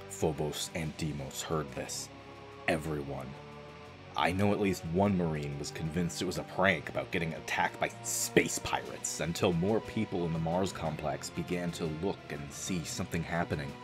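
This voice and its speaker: Man playing a deep-voiced, haunted space janitor. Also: natural voice. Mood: grave. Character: haunted male space-base janitor recounting a demonic invasion. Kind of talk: dramatic scripted audio-drama narration.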